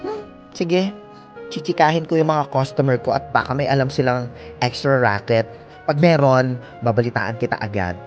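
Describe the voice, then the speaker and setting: A woman's voice: rumbling low, moderate pace, muffled recording. A woman playing a sassy, big-hearted salon owner with campy, slangy delivery in a Filipino audio drama. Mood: friendly. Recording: music under the voice.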